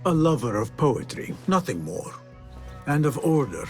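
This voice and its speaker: Guarded young assassin. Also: male voice, mid-range voice, very animated, moderate pace, darkish tone, faint music behind. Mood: smooth, diplomatic. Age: young adult. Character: guarded, courteous young male assassin in old Baghdad. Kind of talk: restrained video game drama acting.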